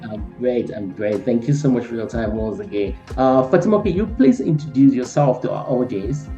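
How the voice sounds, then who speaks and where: A man hosting a motivational interview, talking earnestly, repeating his pleas and filling pauses with "you know". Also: mid-range voice, animated, mellow, roomy sound, muffled recording, faint music behind. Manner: earnest, pleading, repeats words. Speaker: host.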